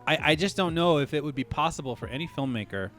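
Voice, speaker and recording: A man's voice: on the high side, animated, quick pace, a bit bright, faint music behind. A man co-hosting a casual podcast discussion, thinking aloud with frequent restarts and asides like "you know what I mean". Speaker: podcast co-host.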